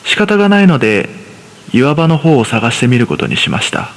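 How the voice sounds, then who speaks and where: A man's voice: mid-range voice, sing-song, fairly quick. A man narrating a scripted horror story in the first person. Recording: long reverb on the voice.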